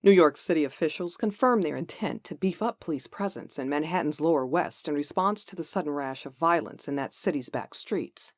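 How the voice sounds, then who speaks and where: A woman's voice: deep voice, expressive, fairly quick, few pauses, dry recording, clean studio sound. A woman playing a serious television news reporter, reading scripted news in a comic dub. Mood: serious, matter-of-fact.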